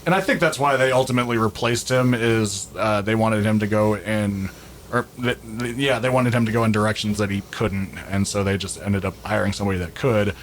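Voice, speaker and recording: A man talking casually and a little haltingly as he co-hosts a discussion of an album. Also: on the low side, fairly even intonation, rough recording. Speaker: podcast co-host. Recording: clear background noise.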